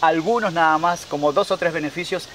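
A man in his forties with a Rioplatense Spanish accent hosts a fitness show and leads the talk with friendly questions. His voice sits somewhat high for a man. He stands outdoors by a lake, with faint outdoor noise behind him. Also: brisk, fairly bright tone. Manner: friendly, leading questions, voseo. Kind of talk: outdoor interview.

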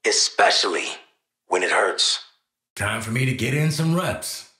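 A gruff American hired gun from an action game, a man who is cocky and tired of it all. His wisecracks are streetwise and blunt. The pitch moves around a great deal, and the tone is bright and hard-edged. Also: very animated, measured pace, dry recording, exaggerated voice. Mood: earnest, resolute, then wry, off-duty. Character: cocky, gruff mercenary sharpshooter, adult man, world-weary. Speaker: voice actor. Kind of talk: video game voice lines.

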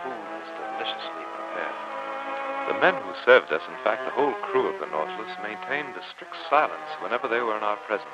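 A man's voice: fairly low pitch, sing-song, fairly quick, over loud music. A man playing a polite, learned professor who narrates his adventure with earnest wonder in a formal old-time radio style. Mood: earnest narrating. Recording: muffled old radio recording.

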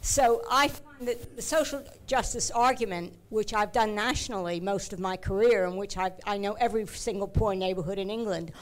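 A woman's voice: medium pitch, moderate pace, hardly any pauses. A woman in the audience at a conference, speaking confidently and directly as she asks a question. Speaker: audience member asking a question.

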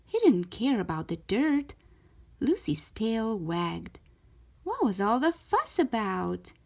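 A woman narrating a children's story aloud in an expressive, careful storytelling manner, as a storytime host. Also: fairly high pitch, slow, gravelly. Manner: animated, careful storytelling delivery.

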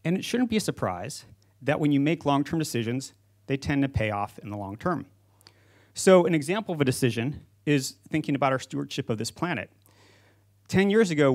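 A man's voice: mid-range voice, lively intonation, fairly quick. A man in his forties giving a prepared conference speech as a company founder, speaking into a handheld microphone on stage. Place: stage in an auditorium with seated audience.

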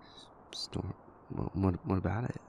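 Man acting a stammering, low-voiced shy boyfriend. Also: unhurried, creaky, in a whisper. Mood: nervous, chatty. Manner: stammering, hesitant, apologetic, soft-spoken. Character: shy, anxious, gentle young boyfriend who rambles apologetically. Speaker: audio roleplay voice actor. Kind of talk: intimate boyfriend audio roleplay.

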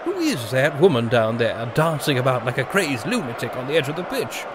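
A man as a tetchy, lively posh British royal. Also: mid-range voice, a little fast, crowd noise, noisy background, close to an everyday voice. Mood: scandalised. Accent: British upper-class. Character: haughty, tetchy upper-class male royal. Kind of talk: comic audio drama acting.

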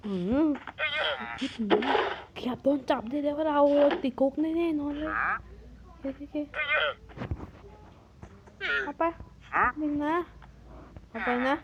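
High-voiced playful cartoon narrator woman. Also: slow, pauses often, highs cut off, heavy background noise. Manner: lively, switches between characters' lines.

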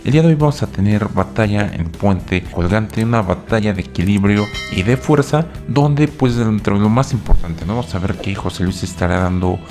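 A man's voice: lowish voice, moderate pace, few pauses, a little mellow, faint music behind. A man hosting a casual video commentary on a reality show, rambling with many fillers and asides.

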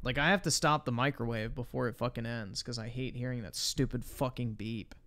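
Man, smooth clear voice, casual streamer commentary, blunt, profane, slangy. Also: medium pitch, steady tone, fairly quick, fairly bright tone. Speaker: livestreamer reacting to videos.

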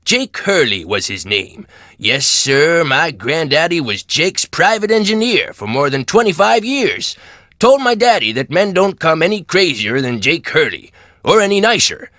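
A man's voice: a little high, sing-song, a little slow, sharp tone, no echo, clean studio sound, heavily acted. An actor playing a folksy, chatty old man in his nineties with an American accent, rambling through stories in a video game. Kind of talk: video game character acting.

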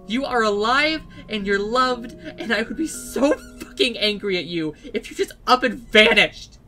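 A woman's voice: on the high side, expressive, a little slow, sharp tone, faint music behind. A woman voicing a caring, blunt and protective teenage sister in an earnest fan dub. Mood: tender, earnest. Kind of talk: emotional fan-dub voice acting.

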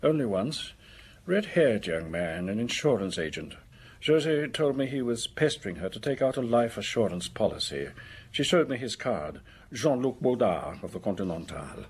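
A man's voice: on the low side, very animated, moderate pace, a little mellow, breathy edge, heavily acted. A man acting in a radio drama as a lonely, anxious, respectable office worker with a hesitant, formal way of speaking. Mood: wistful.

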